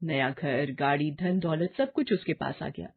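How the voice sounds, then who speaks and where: A woman's voice: deep voice, unhurried, vocal fry, husky. A woman narrating a moral story from a script, in a muffled recording.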